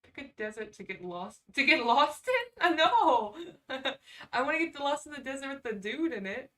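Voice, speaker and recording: A woman's voice: high-pitched, big pitch swings, moderate pace, bright, forceful tone, recorded from a distance. A woman hosting a casual livestream, chatting informally and reacting off the cuff with sarcastic asides. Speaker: livestream host. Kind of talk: casual livestream chat, reading quizzes aloud.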